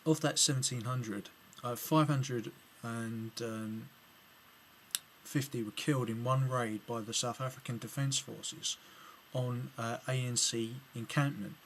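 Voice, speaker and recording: A man's voice: mid-range voice, fairly even intonation, measured pace. Hesitant English man, faint hiss. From England. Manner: hesitant, many ums and restarts. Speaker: video blogger replying to another video. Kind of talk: casual spoken commentary to camera.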